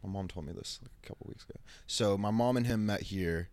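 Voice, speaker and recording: A man, telling stories in a laid-back, halting way as an interview guest. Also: fairly low pitch, a little mellow, no room sound. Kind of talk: casual interview chat.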